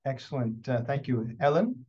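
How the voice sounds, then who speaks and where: A man's voice: medium pitch, big pitch swings, normal speed, warm tone, slightly rough. A man hosting a video call, calling on people by name in brief, polite turns. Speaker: host. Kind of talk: moderating introductions on a video call. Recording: muffled video call audio.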